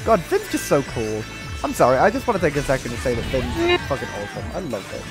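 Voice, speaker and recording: A man in his twenties giving excitable, sweary casual commentary while gaming with friends, on a close microphone. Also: mid-range voice, fast talker. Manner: excitable, exclamatory, swears freely. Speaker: game streamer. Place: indoor room streaming setup. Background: video game music.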